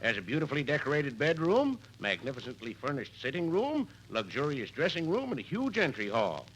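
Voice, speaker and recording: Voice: mid-range voice, very animated, fairly quick, close to an everyday voice. An actor playing a polite male hotel clerk in a 1950s radio comedy. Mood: polite, dry. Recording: noisy old radio recording.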